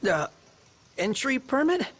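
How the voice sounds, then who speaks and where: An actor playing an excitable young male sidekick in a video game, eager and informal. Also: very high voice, slow pace, breathy edge, exaggerated voice. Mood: excited. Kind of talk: video game cutscene acting.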